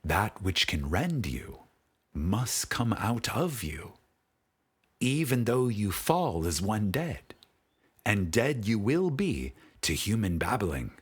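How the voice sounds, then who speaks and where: Slow, husky man reading a spiritual audiobook. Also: fairly low pitch, very animated. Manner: solemn, measured, with long pauses. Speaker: audiobook narrator.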